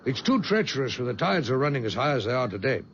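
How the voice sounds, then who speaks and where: A gravelly old colonel, hearty and coaxing, his voice riding high and swooping lively through each line. The sound is muffled, an old radio drama with music under it. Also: quick pace, slight background noise. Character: genial, sly older male colonel. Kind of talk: 1970s radio drama acting.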